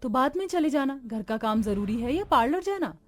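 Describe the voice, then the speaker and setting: High-voiced, sharp-tongued young daughter-in-law played by a woman. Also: animated, moderate pace, faint music behind. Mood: insistent. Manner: scolding, melodramatic. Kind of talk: cartoon family drama dialogue.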